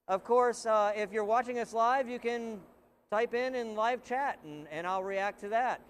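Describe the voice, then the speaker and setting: A man's voice: very high-pitched, a little slow, a little hiss or hum. A middle-aged man hosting a casual web talk show, chatty and loose with frequent restarts. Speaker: show host.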